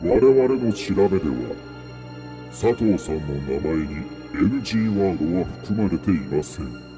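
Man as deep, reverberant, polite game master. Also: animated, over music. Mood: coolly polite. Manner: formal, measured announcer-like politeness. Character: cool, polite, menacing male death-game master. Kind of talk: audio drama acting. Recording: long reverb on the voice.

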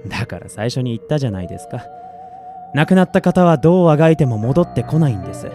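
A man's voice: mid-range voice, expressive, fast, dark tone, soft background music, natural voice. A man acting as a coolly polite, businesslike collection agent for an android company in a Japanese audio drama. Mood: reproachful.

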